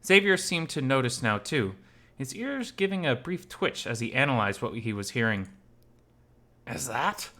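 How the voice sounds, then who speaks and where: Bright, animated male story narrator. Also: mid-range voice, moderate pace. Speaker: narrator reading a visual novel aloud. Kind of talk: expressive first-person story narration.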